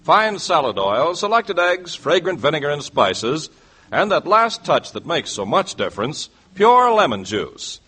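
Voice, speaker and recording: A man with an American accent reads scripted advertising on 1940s radio, with a polished salesman's delivery and deliberate emphasis, in a vintage broadcast recording. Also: a little high, big pitch swings, sharp tone, slight background noise. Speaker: radio announcer.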